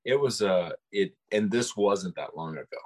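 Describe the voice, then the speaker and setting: Male podcast co-host with a low, husky voice and a measured, reflective delivery. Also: animated. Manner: measured, thoughtful, frequent restarts. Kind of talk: conversational discussion of folklore.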